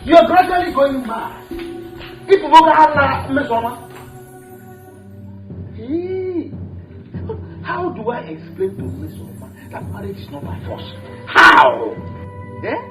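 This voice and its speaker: A man's voice: very high voice, huge pitch swings, takes it slowly, pauses often, music in the background, exaggerated voice, low-quality recording. An actor playing a man in a village council who pleads with his angry sister. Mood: exasperated. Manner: pleading, exasperated. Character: middle-aged man, brother in village council. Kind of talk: film drama acting.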